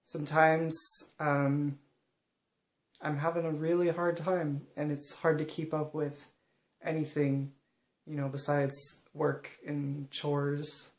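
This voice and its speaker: A young woman speaking softly and hesitantly in a personal monologue, pausing often. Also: deep voice, level tone, roomy sound. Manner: soft-spoken and hesitant, many pauses and ums. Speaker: vlogger.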